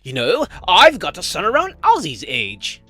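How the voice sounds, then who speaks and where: A man voicing a cocky, flippant dragon assassin and family dad in an animated fantasy series, with sarcastic, stammering banter. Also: sits high, moderate pace, no room sound, exaggerated voice. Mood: breezy, playful.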